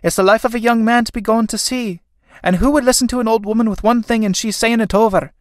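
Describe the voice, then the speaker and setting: Woman acting a lamenting old Irish mother, high-voiced. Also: steady tone, fast talker, no room sound, clean studio sound, natural voice. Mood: bitter pleading. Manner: lamenting, plaintive. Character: grieving old Irish mother, fretful and fearful.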